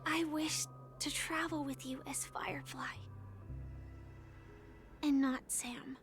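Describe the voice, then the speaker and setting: Secretive young huntress for a video game. High voice, soft-spoken, solemn and sincere, music underneath. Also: female voice, steady tone, takes it slowly. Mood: earnest, confiding. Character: soft-spoken earnest young woman, secretive mercenary hunter. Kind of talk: scripted video game voice acting.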